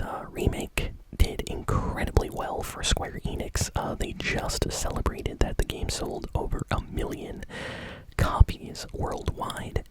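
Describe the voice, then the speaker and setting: A person whispering casual, conversational gaming news in a soft, breathy ASMR style. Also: poor audio.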